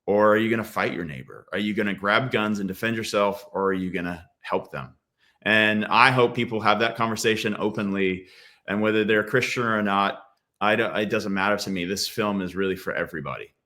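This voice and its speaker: Bright-voiced male film director. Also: lowish voice, brisk. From Australia. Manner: earnest, reflective, with repeated restarts. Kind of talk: interview answers.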